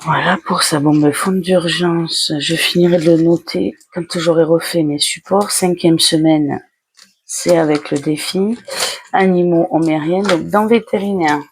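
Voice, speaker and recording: Slow-talking woman with a creaky voice. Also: fairly low pitch, steady tone, a little hiss or hum. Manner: talks herself through each step in short, halting phrases. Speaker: budgeting vlogger. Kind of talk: casual step-by-step narration while handling cash envelopes.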